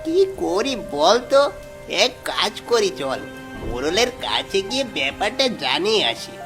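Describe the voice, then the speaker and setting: Woman acting uneasy cartoon villager, medium pitch, swinging intonation, emphatic, loud music underneath. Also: very animated, unhurried. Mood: resolved, accusing. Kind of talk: animated fairy tale character acting.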